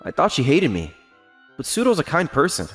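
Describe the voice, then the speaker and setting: Man voicing a lightly high, lovesick teenage schoolboy. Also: huge pitch swings, slightly rough, soft background music, heavily acted. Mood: quiet wonder. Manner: reserved, halting when flustered. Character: quiet, earnest teenage schoolboy, secretly lovesick. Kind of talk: dramatic manga dub narration and dialogue.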